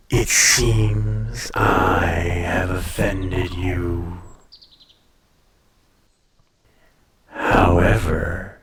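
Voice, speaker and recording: A man playing an ancient, proud dragon in humanlike form in an intimate audio roleplay, speaking to the listener with haughty, teasing condescension and drawn-out phrasing. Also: sits low. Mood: mocking, probing.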